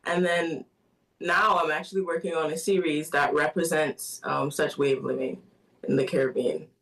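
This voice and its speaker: A soft-spoken woman answers a radio interviewer, her speech full of little fillers and light laughs. Her voice sits a little away from the microphone. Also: low-pitched, steady tone, normal speed, vocal fry. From Bahamas. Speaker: guest artist. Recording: voice somewhat distant from the mic.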